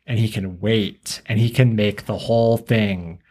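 Man, podcast host, slightly low voice, enthusiastic, conversational fan talk. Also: steady tone, measured pace, darkish tone. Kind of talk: casual fan discussion.

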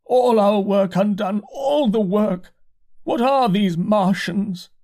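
A high, drawn-out wail of a thin, pale curate, shaken out of his wits and lamenting in a complaining, pleading tone, as a reader puts him on. He drags his words slowly and climbs far above his normal speaking voice. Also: a little mellow. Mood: bewildered, lamenting. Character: distraught, half-mad male curate, pale and thin. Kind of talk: audiobook character voice.

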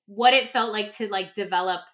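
A woman co-hosting a podcast in a casual, reflective conversation, talking in an animated, informal way with lots of "like". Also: medium pitch, brisk. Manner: animated, informal, peppers talk with "like". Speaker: podcast co-host.

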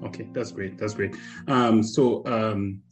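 A man's voice: on the low side, steady tone, a little slow, faint music behind. A man hosting a podcast, asking his guest loose, meandering questions. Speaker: podcast host. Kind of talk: casual podcast interview.

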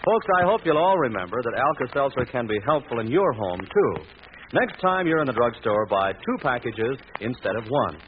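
An American man announcing a 1940s radio commercial as a sponsor's pitchman, on a tinny old broadcast. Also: big pitch swings, moderate pace, a little hiss or hum.

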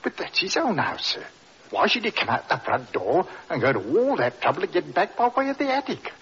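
A puzzled, deferential junior policeman in vintage radio drama. His voice is high and very husky, with swooping intonation, on a dull old recording. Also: sing-song, brisk, a bit bright, full character voice.

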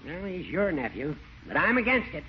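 A man's voice: a little high, huge pitch swings, normal speed. An actor playing a crusty, dry-witted older judge who lectures his friend in a classic radio comedy. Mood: wry. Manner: lecturing, wry, formal. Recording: muffled, hissy old radio broadcast.

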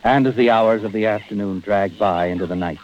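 An American actor playing a knowing, taunting narrator of a 1940s-style radio suspense show, insinuating and dramatic. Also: male voice, on the low side, brisk, darkish tone, natural voice. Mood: ominous. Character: knowing, taunting mystery narrator addressing the guilty man. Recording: muffled old radio broadcast recording.

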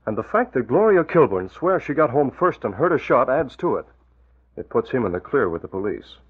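An actor playing a composed, shrewd male newspaper boss in a 1940s radio drama, measured and authoritative. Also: medium pitch, big pitch swings, breathy edge. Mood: thoughtful, decisive. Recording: muffled, tinny old radio recording.

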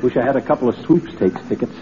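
An actor playing a cheerful, gullible middle-aged braggart in a folksy, chuckling, excitable old-time radio comedy style. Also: male voice, medium pitch, fast talker, lightly acted. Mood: eager. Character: boastful, cheerful, gullible middle-aged small-town man. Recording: dull, noisy old radio recording.

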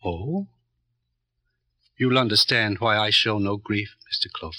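Smug, piercingly bright-voiced barrio fixer. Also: male voice, lowish voice, no room sound. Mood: slyly amused. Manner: smooth-talking, condescending, pompous, deliberate. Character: smug, calculating middleman who runs the barrio. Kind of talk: old-time radio crime drama acting.

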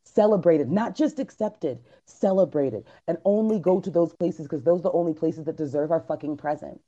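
A man co-hosting a casual podcast chat, talking in an emphatic, animated, preachy way. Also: fairly high pitch, moderate pace, muffled recording. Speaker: podcast co-host. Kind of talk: casual group chat on a live stream.

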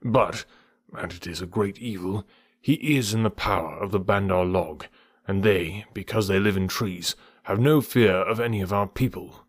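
A man voicing an anxious, fussing old male bear who wails and laments loudly in a storybook reading. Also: breathy edge, dry recording. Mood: urgent. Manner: loud, high and wailing, drawn-out cries.

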